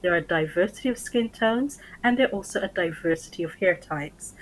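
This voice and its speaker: A woman's voice: mid-range voice, animated, a little fast, poor audio. A woman in her forties, an author speaking as a guest on a TV interview over a video call, talking in an articulate, earnest way. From Barbados and London. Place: small room at home. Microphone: headset on video call.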